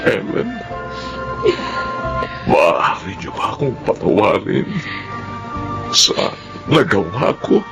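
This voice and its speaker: Slow, creaky, remorseful father. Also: on the low side, very animated, music in the background, full character voice. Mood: remorseful pleading. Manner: halting, pleading. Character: remorseful father pleading for forgiveness. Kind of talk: Tagalog radio drama acting.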